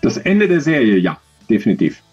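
Mellow, husky middle-aged man. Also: mid-range voice, big pitch swings, a little fast, dull audio, soft background music. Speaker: interview guest, audio drama author. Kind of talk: thoughtful conversational interview answers. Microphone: video call, close to webcam.

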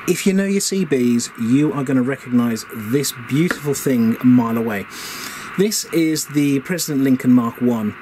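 A middle-aged man, casually and enthusiastically showing gear on camera in an unscripted, chatty way. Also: medium pitch. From England. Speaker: vlog host showing vintage radio gear. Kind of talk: casual unscripted product show-and-tell. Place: indoor workshop shed. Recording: clearly noisy room background.

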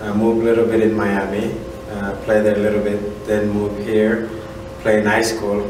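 Echoey, low-voiced man with Central American accent. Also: monotone. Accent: Central American Spanish. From Honduras. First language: Spanish. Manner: halting, repeats "you know". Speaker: assistant soccer coach. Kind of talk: casual interview answers. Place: indoor room at a college. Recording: echoey room, distant mic, background noise.

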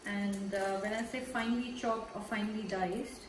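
A woman's voice: mid-range voice, steady tone, normal speed, far from the mic. Woman chef teaching in an echoey kitchen. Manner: patient, step-by-step instruction. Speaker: cooking chef. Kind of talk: cooking demonstration. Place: large home kitchen, indoors. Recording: echoey kitchen with background noise.

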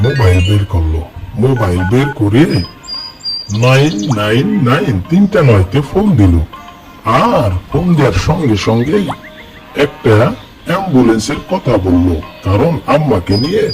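A man voicing an earnest young village boy, narrating a cartoon in the first person with a lively, repetitive telling. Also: mid-range voice, close to an everyday voice. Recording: cartoon voice-over with loud music. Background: background music.

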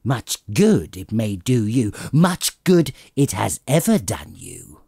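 A man's voice: lowish voice, big pitch swings, slow pace, gravelly, mellow, husky, no room sound, studio quality, exaggerated voice. A man voicing a grumpy old miserly uncle in an audiobook, curt, indignant and scornful. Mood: stern, indignant. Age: older. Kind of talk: dramatic audiobook character reading.

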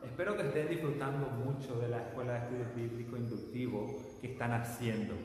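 Slow Paraguayan man in an echoey room. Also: mid-range voice. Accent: Paraguayan Spanish. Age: 20s. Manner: slow, deliberate, gestures while speaking. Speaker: Bible teacher. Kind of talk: warm-toned classroom lecture to camera. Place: small room with a whiteboard, indoors. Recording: very echoey room with a long reverb tail and faint noise.

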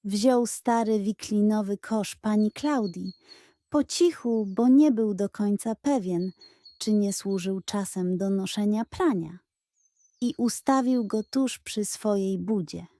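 Casting: female storyteller for children's audio tales, soft mellow timbre, slow pace, expressive reading. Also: mid-range voice, no room sound. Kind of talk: children's bedtime story narration.

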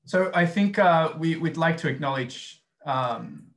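A man's voice: on the high side, expressive, a little slow, a bit bright. A man in his thirties from Argentina with an Argentine Spanish accent, giving a conference presentation over a video call with many fillers and restarts. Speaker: academic presenter.

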